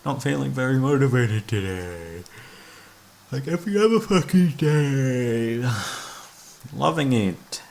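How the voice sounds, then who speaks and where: A man's voice: medium pitch, very animated, slow, creaky. A young man chatting casually to his listeners, rambling in a hesitant, sweary, self-deprecating way. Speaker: voice actor, channel creator. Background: soft background music.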